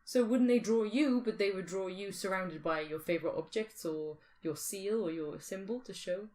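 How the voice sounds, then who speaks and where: A woman's voice: medium pitch, moderate pace, darkish tone. A woman podcast co-host in casual speculative discussion, thinking aloud and often posing questions.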